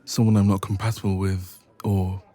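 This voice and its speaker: A man's voice: low voice, flat delivery, moderate pace, warm tone, faint music behind, lightly acted. A man playing a bashful, evasive best friend with a secret crush in an intimate romance audio roleplay. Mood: amused, sheepish. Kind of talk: romance ASMR roleplay, intimate one-sided conversation.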